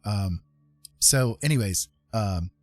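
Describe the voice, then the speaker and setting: A man's voice: fairly low pitch, expressive, slow. A man hosting a livestream, telling a personal story in a casual, rambling and informal way. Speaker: livestream host.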